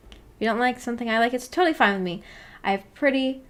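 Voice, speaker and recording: A woman with a fairly high voice chats casually on a livestream, answering her viewers as she goes. Her manner is playful and giggly, with teasing and informal slang. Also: quick pace. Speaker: streamer reading and answering viewer chat.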